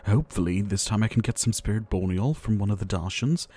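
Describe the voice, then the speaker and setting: A man playing a gentle, ailing pharmacist doctor in an ASMR roleplay, soft-spoken and theatrical, with courteous, wistful phrasing and sighs. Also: fairly low pitch, fast talker, natural voice. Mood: weary, wistful.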